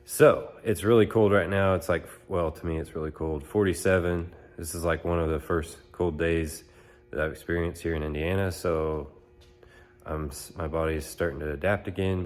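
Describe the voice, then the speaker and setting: A man's voice: deep voice, normal speed. A young man with a stuffed-up head cold, rambling casually through a phone-filmed how-to vlog. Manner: relaxed rambling with fillers. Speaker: channel host. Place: pole barn, then outdoors on a lawn. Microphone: phone at arm's length, then phone camera behind it.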